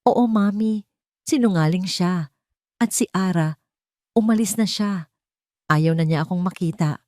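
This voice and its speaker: A woman's voice: on the low side, measured pace, darkish tone, no room sound, clean studio sound, pitched above the performer's own voice, lightly acted. A woman voicing a wounded, guilt-ridden rich son in an audio love drama, anguished and pleading. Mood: distraught.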